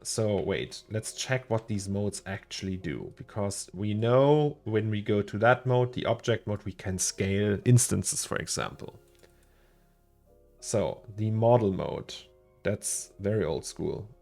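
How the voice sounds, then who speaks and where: A low, gravelly man with a German accent talks his way through a fiddly software problem on a livestream. He repeats words as he thinks and chuckles between thoughts, with soft music playing behind him. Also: expressive, a little slow. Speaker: 3D artist streaming his work. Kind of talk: casual livestream chat while working.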